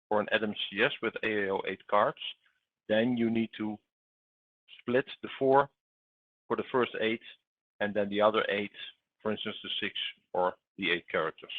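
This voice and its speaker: A man with a fairly low voice gives a technical explanation as a trainer speaking to customers. He comes through a narrow, tinny, phone-like connection. The sound is dry, without room echo. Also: normal speed. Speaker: webinar co-presenter, technical trainer.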